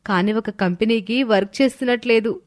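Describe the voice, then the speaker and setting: Woman playing young working wife, higher-pitched. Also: a little fast, lightly acted. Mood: friendly, earnest. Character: young working wife and her chummy office friend. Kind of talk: animated moral story dialogue.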